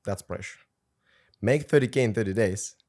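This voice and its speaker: A man's voice: fairly low pitch, moderate pace, a little mellow. A man in his twenties with a Ukrainian accent, a podcast guest telling his story in an earnest, intense way. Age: 20s. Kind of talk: casual interview conversation.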